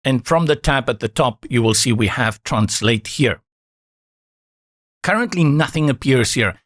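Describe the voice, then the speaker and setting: Calm man walking through a software tutorial. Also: mid-range voice, measured pace, dry recording, studio quality. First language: Afrikaans. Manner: calm, instructive, reassuring asides. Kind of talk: screen-recorded step-by-step tutorial.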